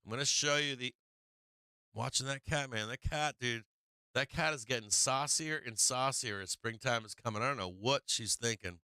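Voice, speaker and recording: A man hosting a live stock market stream, talking viewers through charts in a rambling, casual way, his voice going a little hoarse. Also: medium pitch, normal speed, pushed and bright, no echo, studio quality. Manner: rambling, talks to viewers while walking through charts, many fillers. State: losing his voice.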